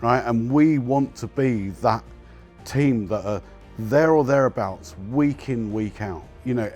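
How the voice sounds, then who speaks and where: A man's voice: medium pitch, darkish tone. A man speaking as team manager in a documentary interview, upbeat and enthusiastic about his team.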